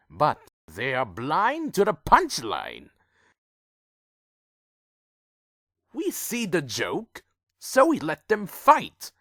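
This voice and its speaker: A man playing a proud, bitter ancient vampire clan leader in a dark fantasy audio drama, brooding, theatrical and full of contempt. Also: high voice, vocal fry, dry recording, studio quality, exaggerated voice. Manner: slow, theatrical, brooding and menacing. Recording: faint music under the voice.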